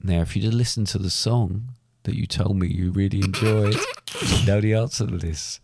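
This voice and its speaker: A man hosting a casual podcast quiz, reading questions out slowly and deliberately while losing his voice and clearing his throat. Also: on the low side, fairly even intonation, a little mellow. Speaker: podcast co-host running a quiz.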